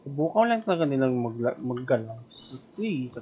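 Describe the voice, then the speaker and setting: A man's voice: medium pitch, sing-song, moderate pace, a little mellow, a little hiss or hum. Filipino man streaming a game. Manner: foul-mouthed muttering. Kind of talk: casual live gameplay commentary. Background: game music.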